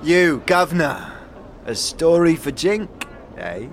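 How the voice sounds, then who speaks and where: A man's voice: on the high side, big pitch swings, takes it slowly, faint music behind, heavily acted. An actor playing a twitchy, secretive male beggar storyteller in a fantasy audio drama, repeating his words and chuckling wheezily. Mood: eager, wheedling.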